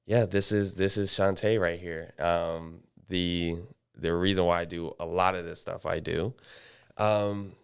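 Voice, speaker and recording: A man's voice: low-pitched, gravelly, clean tone. A man in his twenties hosting a casual podcast, talking in a rambling way with many restarts. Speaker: podcast host. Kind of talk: casual chat.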